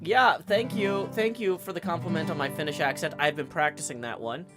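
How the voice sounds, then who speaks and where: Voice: medium pitch, fairly quick. A livestream host chatting casually and reading out viewer tips, riffing and rambling in a room shared with a guest. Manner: chatty, rambling, riffs on chat messages. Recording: guitar music playing behind. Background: guitar music.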